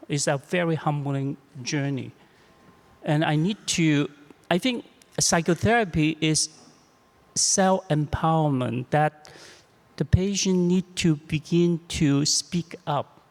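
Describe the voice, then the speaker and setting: An older clinician with a Chinese accent talks in a fairly high voice, halting and repeating himself as he thinks aloud. His words ring with a long reverb, as if in a large hall. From China. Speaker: clinician on a panel. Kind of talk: panel discussion.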